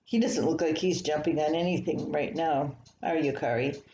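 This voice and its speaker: An older woman reading her own humorous personal column aloud in a folksy, unhurried storytelling manner. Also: low-pitched, mellow. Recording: echoey room, slightly dull, faint hiss.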